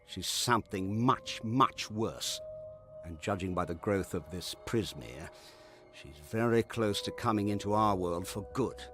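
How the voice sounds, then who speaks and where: An actor playing a grave scholar in a fantasy game, speaking in a measured, ominous, expository manner. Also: male voice, fairly low pitch, expressive, a bit bright, soft background music. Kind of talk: dramatic video game dialogue.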